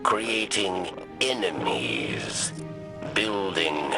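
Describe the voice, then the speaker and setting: A weary old alien exile, speaks in a low, creaking rasp. The delivery is very slow and halting, mournful and reflective, like a solemn game monologue with music underneath. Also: male voice, sing-song, heavily acted. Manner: halting third-person speech in broken phrases. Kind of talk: scripted dramatic monologue for a video game.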